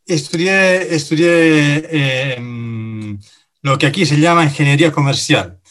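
A man from Italy, a native Italian speaker in his thirties, talking as an interview guest, often pausing and restarting his sentences. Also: fairly high pitch, fairly bright tone. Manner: halting, self-correcting, many fillers and restarts. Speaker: interview guest, finance director. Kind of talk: casual interview talk.

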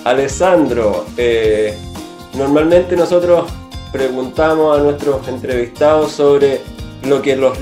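A man's voice: mid-range voice, darkish tone, reverberant. A man hosting a casual radio interview, asking friendly questions and mixing in Italian greetings.

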